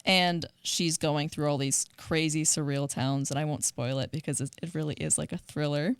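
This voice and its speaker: A woman's voice: low-pitched, fairly even intonation, moderate pace, smooth, no echo. A woman co-hosting a show in casual discussion, talking in an earnest, enthusiastic way. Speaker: co-host of a media show.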